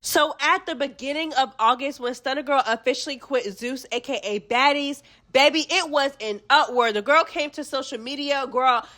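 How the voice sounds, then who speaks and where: A woman with a stuffy nose from a cold hosting a casual gossip recap, chatty and animated, full of slang. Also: sits high, moderate pace, few pauses. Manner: chatty, animated, slangy, calls listeners girl and baby. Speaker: host of a reality TV gossip channel.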